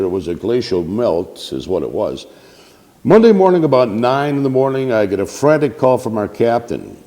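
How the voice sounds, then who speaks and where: An older veteran telling war anecdotes in a panel conversation. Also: male voice, mid-range voice. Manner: anecdotal, lively storyteller. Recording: reverberant community TV studio.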